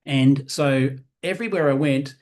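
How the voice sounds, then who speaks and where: Australian male trainer, 50s, upbeat motivational delivery, mid-pitched voice. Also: normal speed. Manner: animated, peppers talk with "right?". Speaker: sales trainer.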